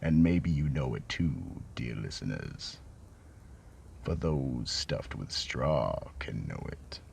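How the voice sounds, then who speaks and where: Man as a cavernous, gravelly horror storyteller. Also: expressive, a little mellow, slight background noise, exaggerated voice. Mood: sinister, relishing. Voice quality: deep, gravelly. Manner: ominous, deliberate. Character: sinister, relishing horror-show storyteller. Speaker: narrator and host. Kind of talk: theatrical scripted horror narration.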